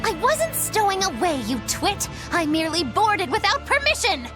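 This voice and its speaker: A cheeky, pompous young witch in a video game, extremely high-pitched and swooping wildly in tone. She declaims with theatrical self-importance over clear background music. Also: big pitch swings, normal speed. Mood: indignant.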